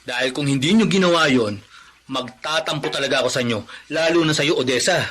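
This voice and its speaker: A man's voice: on the high side, fast talker, crowd noise, natural voice. An actor in a radio drama playing a cheerful, teasing young male cousin. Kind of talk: classic radio drama acting.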